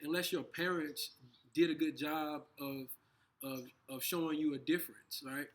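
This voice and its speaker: A man co-hosting a casual conversation, talking in halting bursts with frequent restarts. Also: on the high side, steady tone. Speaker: co-host.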